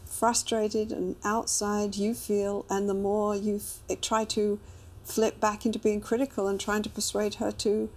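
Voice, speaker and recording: A woman playing an encouraging couples therapist in a session dialogue, gently probing, rephrasing and checking that she has understood. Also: medium pitch, little pitch movement, measured pace, close to an everyday voice.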